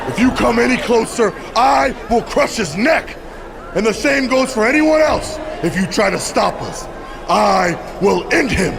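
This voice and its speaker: An actor playing a menacing wrestling villain in a video game cutscene, making slow, deliberate threats. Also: male voice, very high-pitched, expressive, creaky, full character voice. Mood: threatening. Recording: loud crowd and noise behind.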